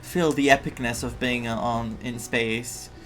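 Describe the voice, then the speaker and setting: Rambling, excitable male game streamer. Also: medium pitch, a little slow, faint music behind, poor audio. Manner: rambling, excitable, stumbles over names. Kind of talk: casual playthrough commentary.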